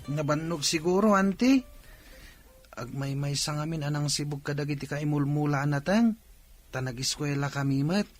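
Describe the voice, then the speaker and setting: A man's voice: fairly high pitch, moderate pace, faint music behind, close to an everyday voice. A man playing a young nephew in a radio drama, polite and matter-of-fact. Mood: polite, explaining.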